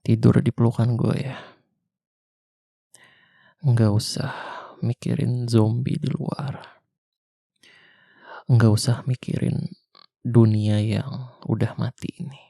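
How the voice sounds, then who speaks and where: Slow, gravelly young man, soft and intimate. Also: medium pitch, level tone, dry recording, clean studio sound. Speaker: ASMR roleplay voice actor. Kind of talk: ASMR boyfriend roleplay.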